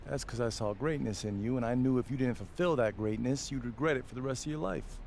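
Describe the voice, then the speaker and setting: A man's voice: mid-range voice, fast talker, creaky, a little mellow, a little hiss or hum, natural voice. A man playing a proud, encouraging father and former athlete, with an American accent and colloquial delivery, in a video game drama. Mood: teasing, fond. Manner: colloquial, heartfelt.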